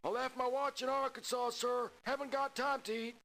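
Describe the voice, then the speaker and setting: An actor playing an eager young recruit who shouts his replies. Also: extremely high pitch, level tone, moderate pace, vocal fry, full character voice. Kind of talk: 1990s adventure game voice acting.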